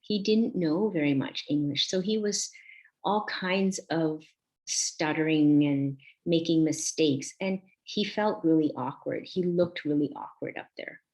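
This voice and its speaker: A woman in her forties, born in Vietnam, speaks in a low voice and takes her time. She tells a personal story to a conference audience and pauses often with soft ums. Her delivery stays slow and thoughtful. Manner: slow, reflective, with frequent ums.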